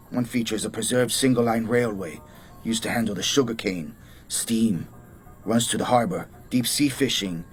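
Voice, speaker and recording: An actor playing a short-tempered, threatening American gangster boss in a radio drama, with a tough, slangy delivery. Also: male voice, fairly low pitch, close to an everyday voice. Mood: brisk, businesslike. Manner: blunt, bossy, threatening. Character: menacing American gangster assassin, short-tempered hotel owner.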